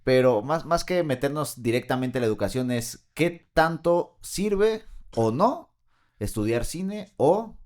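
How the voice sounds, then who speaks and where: A man's voice: mid-range voice, lively intonation, measured pace, darkish tone. A man with a Mexican accent hosting a casual podcast chat in colloquial, slangy speech. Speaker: podcast host.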